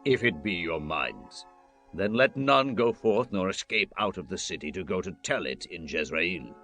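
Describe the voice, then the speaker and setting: Very deep, grave army captain. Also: male voice, animated, normal speed, a bit bright. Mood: grave, guarded. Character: Jehu, grave guarded Israelite army captain. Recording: voice over background music. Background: music.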